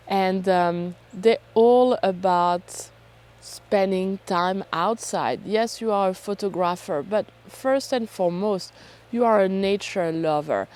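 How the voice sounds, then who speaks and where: A woman, hoarse from a cold, talking casually and chattily to camera as a self-deprecating vlog host. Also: medium pitch, darkish tone. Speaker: photography vlogger and host.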